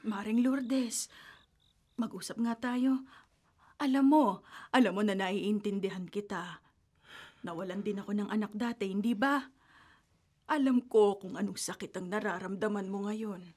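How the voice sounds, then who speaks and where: A woman's voice: medium pitch, measured pace, pauses often, a little mellow, close to an everyday voice. A woman playing a caring middle-aged friend who consoles and reassures in a radio drama. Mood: consoling. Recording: music playing underneath.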